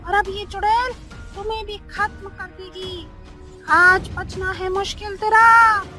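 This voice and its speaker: A woman voicing a menacing, gleeful sea witch in a horror cartoon, taunting in drawn-out singsong threats. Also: extremely high pitch, fairly even intonation, music in the background. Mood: menacing, gloating. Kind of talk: Hindi horror cartoon voice acting.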